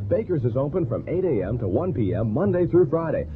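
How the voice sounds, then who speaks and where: A man's voice: medium pitch, very animated, a little fast, over music. A man reading a scripted local commercial on an old radio broadcast. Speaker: radio advertising announcer. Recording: tinny 1970s AM radio broadcast.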